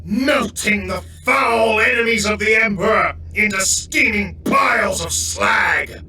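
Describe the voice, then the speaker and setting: Trooper played by a man: proud, edgy, deferential, raised pitch, forceful military snap. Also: unhurried, a little hiss or hum, exaggerated voice. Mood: zealous. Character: brash, nervous male trooper, proud but deferential soldier.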